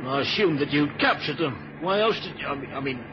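A man acting as a vain British cabinet minister with an upper-class accent. His fairly high voice swoops about pompously and with great self-importance. It is a dull, noisy vintage radio drama recording. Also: lively intonation, a little fast, natural voice. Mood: indignant, flustered.

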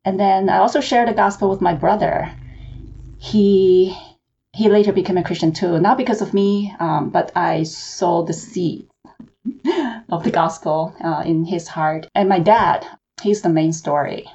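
A woman from Hong Kong, an author and seminary professor, answering interview questions in a measured, reflective way. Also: on the low side, dark tone, husky, muffled recording.

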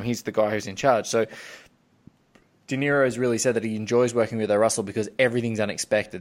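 A man hosting a podcast, talking casually and effusively about film in a rambling way. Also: lowish voice. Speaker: podcast host.

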